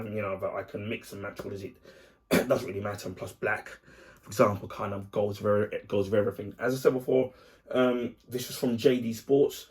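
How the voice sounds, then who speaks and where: A man, husky and croaky from the flu, reviewing a clothing purchase in a casual vlog, with frequent ums and throat clearing. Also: on the low side. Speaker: YouTuber.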